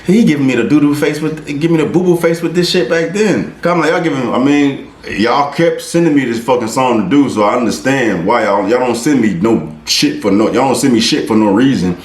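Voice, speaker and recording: A man hosting a reaction video, talking casually and excitedly, swearing often and restarting his sentences. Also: medium pitch, brisk, hardly any pauses, roomy sound.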